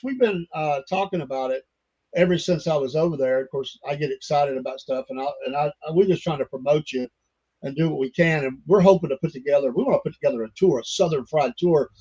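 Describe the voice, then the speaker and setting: An older man hosts a casual podcast chat, rambling along with plenty of fillers and folksy asides. His voice sits a little high for a man, and the recording sounds dull and lo-fi. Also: darkish tone, slightly rough. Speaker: podcast host.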